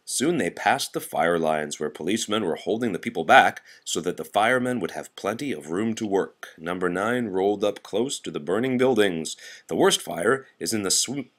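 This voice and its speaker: Animated low-voiced man reading a storybook. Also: normal speed, few pauses. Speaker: storybook reader. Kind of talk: expressive children's read-aloud narration.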